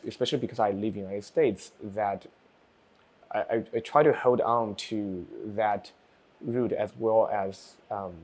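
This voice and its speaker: A man talks through his work as a developer and researcher. His voice sits fairly low, and he speaks with care, often stopping on a soft um while he picks his next words. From China. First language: Chinese. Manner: thoughtful, with frequent pauses and um fillers. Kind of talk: personal project presentation.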